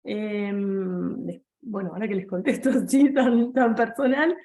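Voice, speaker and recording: Una mujer argentina de mediana edad, profesora de seminario, que cuenta una experiencia personal a sus alumnos de forma conversacional, con muchas pausas y reformulaciones. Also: female voice, medium pitch, warm tone. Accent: Argentine Spanish. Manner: conversational, many restarts and fillers, addresses listeners directly. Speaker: seminar lecturer. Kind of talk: personal anecdote in an online lecture.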